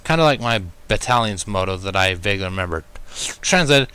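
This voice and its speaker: A man reading fan fiction aloud as an expressive first-person story narration. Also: fairly low pitch, fairly quick, clean tone.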